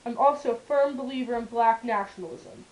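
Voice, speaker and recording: A woman's voice: on the high side, a little fast, a little mellow, lightly acted, poor audio. A woman playing a firm, defiant male activist in a puppet show, narrating his life in the first person. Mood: earnest, firm.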